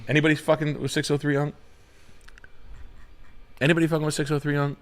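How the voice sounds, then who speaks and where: A man's voice: fairly high pitch, level tone, fast talker, close to an everyday voice. A man voicing a goofy, boastful street rapper type who stammers and rambles. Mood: hyped.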